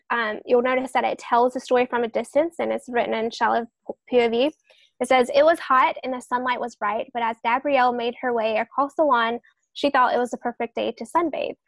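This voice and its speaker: A woman's voice: fairly high pitch, no room sound. A woman author on a podcast panel, explaining writing tips in an earnest, explanatory way.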